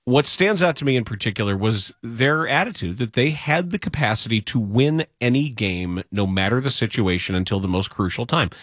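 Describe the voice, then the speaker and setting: A male sports radio host chatting casually in a dry, close studio sound. He jokes and laughs at his own stories, often restarting sentences and trailing off. His pitch sits in an ordinary middle range. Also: expressive, brisk, hardly any pauses, a bit bright, no room sound. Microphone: studio microphone.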